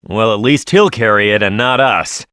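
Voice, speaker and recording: A man's voice: lowish voice, normal speed, bright, forceful tone, dry recording, studio quality. A man voicing a tough, hot-headed echidna brawler in a video game, proud and blunt in manner. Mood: easygoing.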